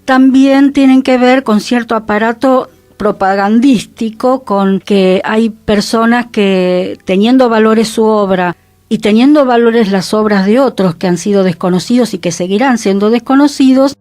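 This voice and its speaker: A woman's voice: on the high side, a little mellow, no room sound. A woman with an Argentine accent talking reflectively in a conversational radio panel. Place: radio studio.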